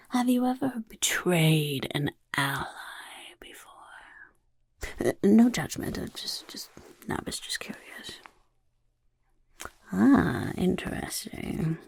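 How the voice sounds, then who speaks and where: A woman voicing a sly, self-important goblin overlord who interviews recruits in soft-spoken ASMR roleplay, whispering and talking about itself in the third person. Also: fairly low pitch, no room sound, heavily acted. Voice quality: gravelly.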